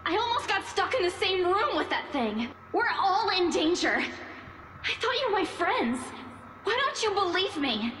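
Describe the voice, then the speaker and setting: A woman's voice: very high-pitched, very animated, unhurried, background noise, exaggerated voice. A woman playing a frightened, desperate young girl in a visual novel, pleading and agitated, in an echoey space. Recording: echoey, with clear background ambience.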